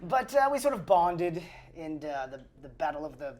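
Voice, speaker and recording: A woman player improvising her character in a tabletop roleplaying game, chatting casually in a wry, joking, halting way. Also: deep voice, big pitch swings, a crowd in the background.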